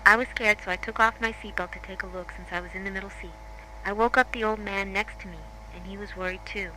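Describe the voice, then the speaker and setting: A woman's voice: mid-range voice, steady tone, fast talker, pushed and bright, a little hiss or hum, lightly acted, poor audio. A woman playing a shaken crash survivor, giving a scripted first-person account step by step in a horror drama.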